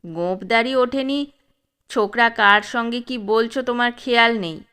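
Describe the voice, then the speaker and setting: A woman's voice: fairly high pitch, normal speed, bright, forceful tone. A woman voicing a harsh, commanding old male dacoit chief in a spoken storybook. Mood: menacing. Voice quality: harsh, gruff. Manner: commanding, threatening.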